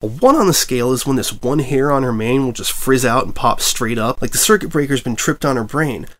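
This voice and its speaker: A man voicing a cheeky young male baby dragon sidekick who teases and gets excited. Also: mid-range voice, brisk, close to an everyday voice. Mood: gleeful. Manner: excitable, teasing.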